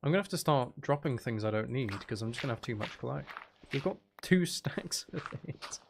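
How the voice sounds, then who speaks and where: A man's voice: medium pitch, expressive, brisk, vocal fry, background noise. A man giving casual, rambling gameplay commentary, thinking aloud as he plays. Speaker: gaming video creator playing Minecraft.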